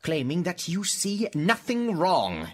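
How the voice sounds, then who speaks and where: Man playing a smug, lively British interrogator. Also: sits high, takes it slowly, exaggerated voice. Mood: stern, formal. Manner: condescending, insinuating. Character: smug, insinuating British establishment men and interrogators. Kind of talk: theatrical radio drama dialogue.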